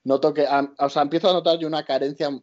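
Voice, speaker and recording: A man's voice: fairly high pitch, little pitch movement, fast talker, a little mellow. Man interviewing casually, slightly dull audio.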